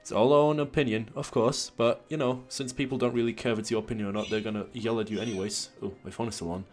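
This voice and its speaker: A man's voice: mid-range voice, steady tone, fast talker, a little mellow. A man from Germany hosting a casual online podcast, rambling, swearing freely and chuckling with his friends. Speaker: podcast host.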